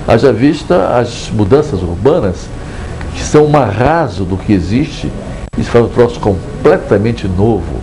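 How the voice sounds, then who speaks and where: An older man from Brazil speaks in a lowish, mellow voice. He talks in a measured, reflective way, often restarting his phrases. A faint hum of noise sits under the recording. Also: rough recording. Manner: measured, digressive, frequent hesitant restarts. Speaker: book author. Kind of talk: reflective interview monologue.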